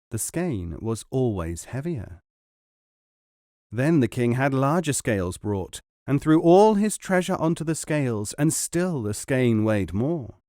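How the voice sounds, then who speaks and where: A man reading an old folk tale aloud with measured, expressive storytelling. His voice sits in a middle pitch, recorded close and dry with no room around it. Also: no echo. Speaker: audiobook narrator. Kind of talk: scripted storybook narration.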